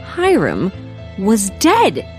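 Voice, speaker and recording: A woman's voice: medium pitch, wildly swooping pitch, extremely slow, darkish tone, faint music behind. A woman narrating a scripted comic story in a wry manner.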